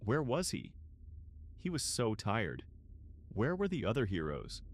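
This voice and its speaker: Deep, expressive male story narrator. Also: unhurried. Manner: expressive, dramatic. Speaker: narrator and voice actor. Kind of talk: scripted dramatic story narration.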